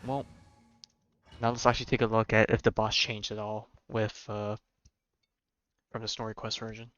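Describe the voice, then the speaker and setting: A man's voice: on the low side, little pitch movement, moderate pace, a bit bright, no room sound, muffled recording. A man livestreaming a game with casual, informal commentary, thinking aloud and reading on-screen text.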